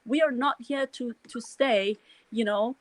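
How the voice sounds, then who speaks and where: A woman's voice: a little high, unhurried. A middle-aged woman from Iran with a Persian accent, speaking her views in a casual, earnest way, full of restarts and "you know". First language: Farsi. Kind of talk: casual spoken reflection.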